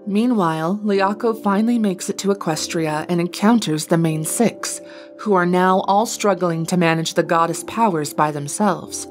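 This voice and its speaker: A woman's voice: fairly low pitch, moderate pace. A woman reading a scripted narration that recaps a fantasy story. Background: background music.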